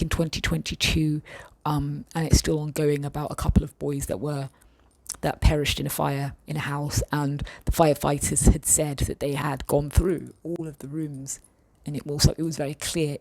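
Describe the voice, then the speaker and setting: A woman vlogger chatting casually and thoughtfully with her viewers, often pausing and restarting her sentences. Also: low voice, a little mellow, no echo, poor audio. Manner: thoughtful, hesitant, many fillers and restarts. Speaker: vlogger reading viewer comments. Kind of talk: casual chat.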